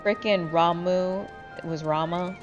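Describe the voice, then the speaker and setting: Sleepy, low-pitched woman chatting over game music. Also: fairly even intonation, a little slow, highs cut off. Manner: sweary, candid. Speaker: gamer commentating with friends. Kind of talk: casual chat over gameplay.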